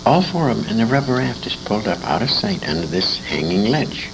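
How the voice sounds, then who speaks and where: A man's voice: fairly low pitch, sing-song, fairly quick, creaky. An older American man telling war stories conversationally, with an emphatic, dramatic delivery that leans on repeated phrases. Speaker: interviewee, war veteran and writer. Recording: noisy amateur recording with room ambience.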